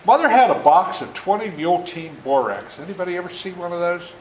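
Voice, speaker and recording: A man in his seventies reading a story aloud to children in a folksy, interactive, animated way, as an author before a young audience. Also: high-pitched, fairly quick. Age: 70s. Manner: folksy, interactive, acts out moments. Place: indoor room before a school audience. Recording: roomy echo, faint background noise.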